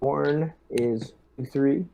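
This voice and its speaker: A man playing a squad lieutenant in a tabletop role-playing session, speaking in a deliberate, halting, businesslike way. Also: medium pitch, vocal fry, mellow, low-quality recording. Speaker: player acting as squad lieutenant.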